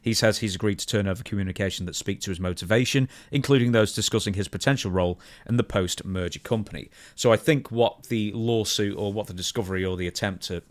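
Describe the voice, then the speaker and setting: Low-voiced man co-hosting a talk podcast. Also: quick pace, hardly any pauses, no echo. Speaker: podcast co-host reading news and asking questions. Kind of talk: news reading mixed with casual chat.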